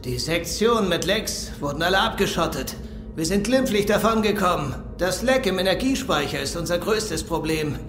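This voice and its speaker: An actor in a German audio drama playing a dutiful, obedient alien ship officer who reports in clipped military manner. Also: male voice, high voice, lively intonation, moderate pace. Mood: worried. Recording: echoey ship room, music behind.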